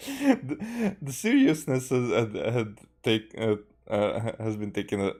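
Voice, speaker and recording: A man's voice: medium pitch, animated, slow, darkish tone. A man with a Hungarian accent giving casual live game commentary in hesitant, broken-up phrases, as an easily amused streamer.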